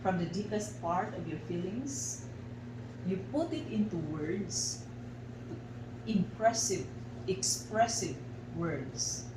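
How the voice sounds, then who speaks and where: A woman with a Filipino accent leads a guided expressive arts lesson as facilitator, speaking deliberately with measured pauses. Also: on the low side. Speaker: workshop facilitator and teacher. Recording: echoey, distant microphone, loud background noise.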